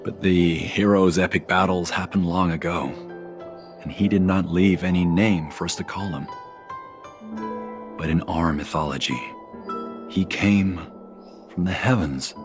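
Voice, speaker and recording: A courteous envoy in a video game, his voice very low. He speaks formally, like an earnest keeper of old tales, over a clear bed of music. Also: steady tone, unhurried, pauses often. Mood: reverent, earnest. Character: dignified, courteous male foreign ambassador and monument keeper. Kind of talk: English video game voice acting.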